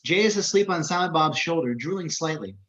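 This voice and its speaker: Guy narrating screenplay directions, highish sharp voice, kinda dull lo-fi home recording. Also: lively intonation, brisk. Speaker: narrator reading stage directions. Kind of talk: scripted screenplay narration at a table read.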